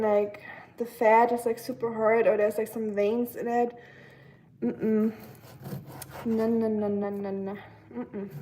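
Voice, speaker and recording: A woman's voice: a little high, dark tone, slight background noise, low-quality recording. Chatty young woman livestreaming while cooking. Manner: chatty, many fillers, giggly asides. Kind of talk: casual live stream chat reading comments. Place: home kitchen, cooking while streaming.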